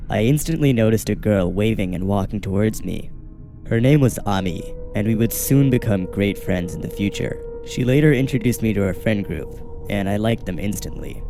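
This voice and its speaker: A man tells a scripted horror story in the first person, in a steady and measured way. His voice sits fairly low and sounds soft and mellow. Faint music runs quietly behind him. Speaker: narrator.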